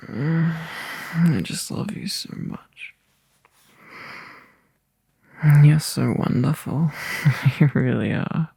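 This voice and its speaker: A man playing a tired, overworked young boyfriend in a soft, intimate ASMR roleplay, halting and breathy, often dropping into a whisper close to the mic. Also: on the high side, no room sound, exaggerated voice. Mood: touched, loving. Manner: halting, drowsy, sighing delivery. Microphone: close microphone, dry.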